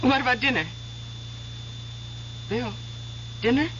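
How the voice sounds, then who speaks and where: An affectionate, bright-voiced young wife. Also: female voice, fairly high pitch, takes it slowly. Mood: curious, probing. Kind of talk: radio drama acting. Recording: dull hissy old radio recording.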